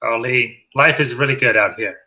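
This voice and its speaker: A man's voice: medium pitch, moderate pace, pushed and bright, muffled recording. A man, chatting in an upbeat, friendly way as a guest on an interview show. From northwest Wales. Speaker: guest, endurance cyclist. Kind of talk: casual interview chat.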